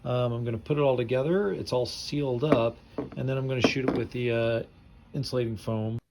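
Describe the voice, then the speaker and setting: Male DIY host, mid-pitched, casual improvised explainer with halting delivery, some noise behind him. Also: fairly even intonation, vocal fry. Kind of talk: casual hands-on project narration.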